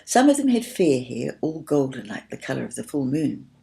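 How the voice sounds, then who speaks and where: A woman's voice: extremely low pitch, sing-song, moderate pace, creaky, mellow, exaggerated voice. A woman voicing a firm, imaginative girl who tells a children's story patiently and dramatically. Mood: firm, wondering.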